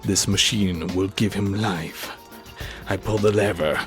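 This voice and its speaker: Man acting as obsessed mad scientist, low voice, slow grand delivery, arrogant, radio-play melodrama, music underneath. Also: steady tone. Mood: grandiose, exultant. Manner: grandiose, theatrical. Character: obsessed, arrogant scientist who creates a monster. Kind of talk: amateur audio drama performance.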